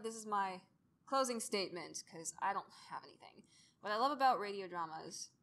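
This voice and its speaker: A woman's voice: fairly low pitch, fairly bright tone. A woman in her early twenties giving an informal presentation as a student audio drama creator, rambling and self-deprecating. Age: early 20s.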